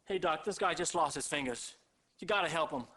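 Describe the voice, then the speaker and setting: A man's voice: fairly high pitch, fairly quick, sharp tone. An actor playing an urgent man calling for a doctor at a disaster scene in a video game. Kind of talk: full-motion-video game acting.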